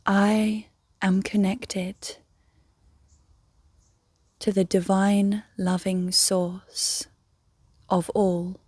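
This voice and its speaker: A woman leading a guided meditation in a soft, breathy, near-whispered voice, gently and soothingly, with long pauses. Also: medium pitch, level tone, no echo, studio quality. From England. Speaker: meditation guide, yoga teacher.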